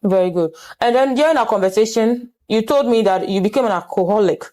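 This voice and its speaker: A woman's voice: medium pitch, brisk, dark tone. Earnest Ghanaian woman interviewer. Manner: earnest, probing, restates answers. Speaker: interviewer and host. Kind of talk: interview with advocacy appeals.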